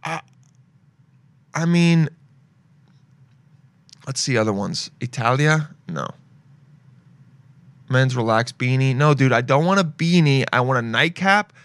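A man's voice: medium pitch, lively intonation, slow, pauses often, a bit bright, no echo. A young man hosting a casual podcast, rambling and joking as he browses shopping sites and reads reviews aloud. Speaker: podcast host.

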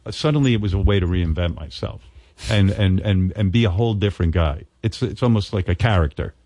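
A middle-aged man hosting a casual interview, animated and full of stammering restarts and crude jokes. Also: low-pitched, fairly quick, no room sound. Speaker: talk show host.

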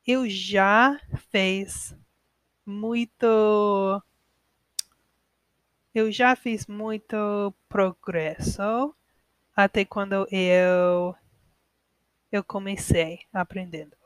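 Young American woman hosting a podcast, speaks slowly and hesitantly as a learner, clear voice, dry close sound. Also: mid-range voice, fairly even intonation, dry recording. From United States. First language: English. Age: 20s. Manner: hesitant learner, frequent pauses and self-corrections. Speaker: podcast host. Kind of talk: casual podcast monologue.

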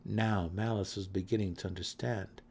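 A man's voice: lowish voice, fairly even intonation, normal speed, a little mellow, slightly rough. A man narrating a fantasy story as a dramatic, scripted audiobook reading.

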